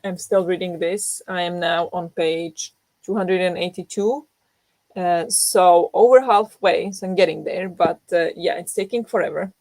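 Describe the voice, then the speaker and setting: A woman's voice: lowish voice, fairly even intonation, measured pace, darkish tone, no echo. A young woman hosting a reading livestream, just woken up and groggy, chatting casually to viewers with many fillers and restarts. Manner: rambling, self-deprecating, many fillers and restarts. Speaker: book vlogger hosting a reading sprint.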